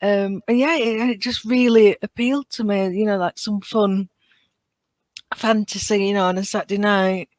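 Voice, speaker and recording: A woman in her thirties with a British accent talks in a relaxed, conversational podcast style. She is chatty and tells things with animation. The sound is dry, with very little room in it. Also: mid-range voice, normal speed, dry recording. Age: 30s. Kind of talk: casual conversational chat.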